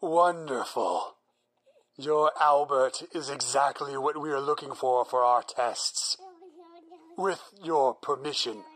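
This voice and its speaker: A man's voice: medium pitch, a bit bright, poor audio. A man playing a driven, obsessive scientist. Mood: polite. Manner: earnest, persuasive, measured. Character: driven, single-minded male scientist. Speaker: researching psychologist. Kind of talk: audio drama acting.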